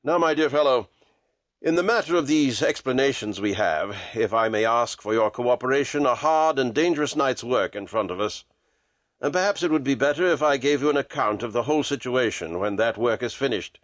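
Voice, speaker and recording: A man voicing a lean gentleman detective in a storybook, measured, precise and nonchalant, cool and commanding. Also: mid-range voice, expressive, dry recording, pitched below the performer's own voice, natural voice. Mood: composed, assured.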